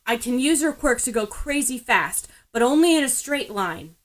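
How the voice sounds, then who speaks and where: Woman doing a grumpy teen boy from an anime story, blunt, gruff, short with people. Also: sits high, animated, moderate pace. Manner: curt, grunting, annoyed. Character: gruff, irritable, impatient teenage boy hero in training.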